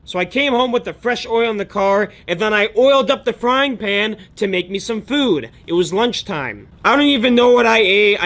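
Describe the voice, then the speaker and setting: A man's voice: sits high, a bit bright. A young American man telling stories about his day in a casual, chatty, slangy and emphatic vlog style. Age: young adult. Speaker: daily vlogger, online book seller.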